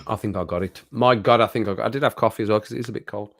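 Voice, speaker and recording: A man hosting a casual live stream chat, rambling and laughing often, his voice a little croaky after a cold. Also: on the low side, racing, a bit bright, no echo, studio quality.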